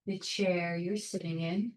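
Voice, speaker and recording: A woman leading a guided meditation in a calm, soothing, measured way, leaving long pauses between short phrases. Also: on the low side, echoey room, rough recording. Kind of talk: guided meditation, soft instruction.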